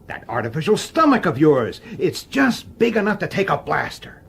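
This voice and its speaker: An actor playing a gruff, irritable, middle-aged police detective in a radio drama, blunt in his delivery. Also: a little high, wildly swooping pitch, normal speed, fairly bright tone, full character voice. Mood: angry, accusing. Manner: blunt, hesitant, then explosive. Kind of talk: radio drama acting with first-person narration.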